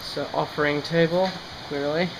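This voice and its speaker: Young guy vlogging a hike, kinda high voice, chatty and wry, camera mic, lots of outdoor noise. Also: fairly even intonation, normal speed. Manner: chatty, musing, wry asides. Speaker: travel vlogger. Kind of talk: casual first-person travel narration. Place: outdoors on an overgrown trail and railway line. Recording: outdoor camera audio with clear ambient noise.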